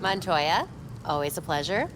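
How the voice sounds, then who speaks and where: An actress playing a determined, quippy young vigilante heroine in a video game cutscene. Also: female voice, lowish voice, expressive, normal speed, noisy background, natural voice. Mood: wry.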